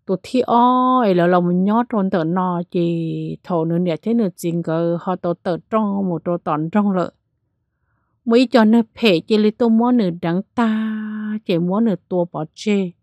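Female storyteller, mid pitch, animated delivery, dry close recording. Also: moderate pace, dry recording, lo-fi sound. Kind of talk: spoken ghost story narration.

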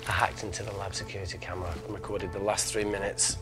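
A man's voice: low voice, little pitch movement, fast, music in the background, close to an everyday voice. An actor in his thirties playing an earnest, wry, self-sacrificing lab scientist in a naturalistic film style. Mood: wry, plotting.

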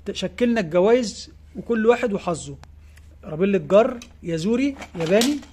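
An Egyptian man talks at a brisk clip as he shows off giveaway prizes in his tackle shop. He keeps checking in with "tamam?" and nudges the children helping him, recorded on a handheld phone with faint shop noise around him. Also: high voice, lively intonation, dark tone. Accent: Egyptian Arabic. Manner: quick, repeats "tamam?" to check in, coaxing children along. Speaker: fishing tackle shop owner. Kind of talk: casual shop video presenting giveaway prizes. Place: indoor fishing tackle shop.

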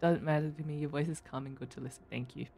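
Chatty Australian woman streamer, low voice. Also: fairly even intonation, quick pace, gravelly, darkish tone, faint music behind. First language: not English. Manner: chatty, laughs often, bursts into high squeals. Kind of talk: casual chat reading chat messages.

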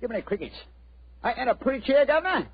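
An actor playing a cheery, pushy furniture remover with a Cockney accent and husky voice, in 1940s radio style. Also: male voice, very high voice, very animated, quick pace, slight background noise, full character voice. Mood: chummy, coaxing. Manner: chummy, coaxing, rhyming slang. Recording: muffled old radio recording.

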